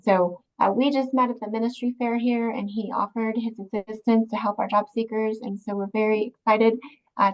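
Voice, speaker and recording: A woman hosting a church career ministry session, introducing a guest in a halting way with many pauses. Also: on the high side, poor audio. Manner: halting, many ums and uhs. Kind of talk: introducing a speaker.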